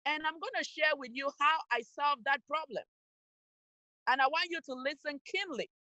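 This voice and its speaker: A woman speaks high and bright straight to young listeners. She presses each point with firm, scolding emphasis. The sound is close and dry, coming through a video-call mic. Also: moderate pace, no room sound, low-quality recording. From Cameroon. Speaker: youth program speaker. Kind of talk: motivational talk to young people.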